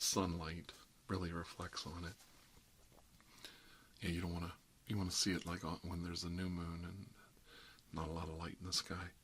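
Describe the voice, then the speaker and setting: A man's voice: deep voice, fairly bright tone, slight background noise. A man playing a chatty, friendly dentist in an improvised ASMR roleplay, talking in a hesitant, rambling way that drops into half-whispers. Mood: cheerful, polite. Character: chatty, friendly emergency dentist treating monsters, mildly bigoted. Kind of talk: ASMR roleplay, improvised one-sided conversation.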